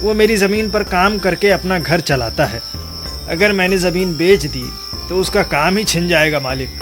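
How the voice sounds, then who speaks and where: Man as humble male villager, high pitch, earnest, wavering, cartoon bedtime story. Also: lively intonation, moderate pace, music in the background. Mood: hesitant. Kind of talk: animated moral bedtime story.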